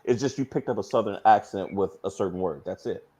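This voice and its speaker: A man's voice: fairly low pitch, brisk, dark tone, husky. A man from the US West Coast with an American accent, talking casually as a panelist in a group chat.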